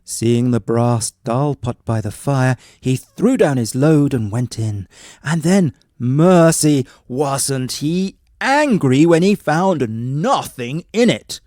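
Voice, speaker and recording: A man with a mellow, medium-pitched voice tells a bedtime story. He reads expressively, like a practised storyteller, in a close, dry recording. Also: animated, slow, no room sound. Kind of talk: scripted bedtime story narration.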